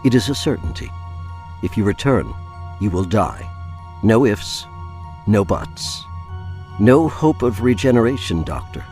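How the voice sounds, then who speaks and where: A man acts a stern emissary of an alien elite in a radio play. His lowish voice is grave and portentous with lively rises and falls, over faint music. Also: measured pace, pauses often. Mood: grave warning. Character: stern male agent of an alien elite, ominous. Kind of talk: audio drama acting.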